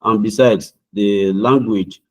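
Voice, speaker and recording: A man's voice: lowish voice, steady tone, slow. A young man from Nigeria with a Nigerian accent, answering mock visa interview questions over a video call in an earnest, formal way, often restarting his words.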